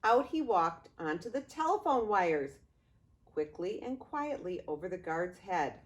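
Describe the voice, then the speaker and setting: An older woman reading a picture book aloud to young grandchildren, expressively, with playful asides to the listeners. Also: lowish voice. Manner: expressive, unhurried, addressing small children.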